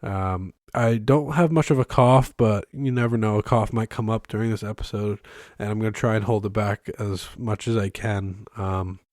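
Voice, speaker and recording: A young man with a low, hoarse and congested voice hosts a casual solo podcast from his bedroom. He rambles through his thoughts with plenty of ums and restarts, close to the mic. The sound is dry, with almost no room echo. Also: steady tone, moderate pace, darkish tone. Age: young adult. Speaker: podcast host. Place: bedroom used as a studio. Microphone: close microphone, filmed on camera.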